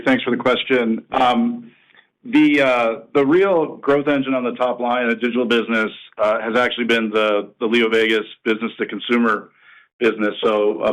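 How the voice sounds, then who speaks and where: A man answering an analyst on an earnings call as a company executive, speaking in a halting, measured way. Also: on the low side, a bit bright, low-quality recording.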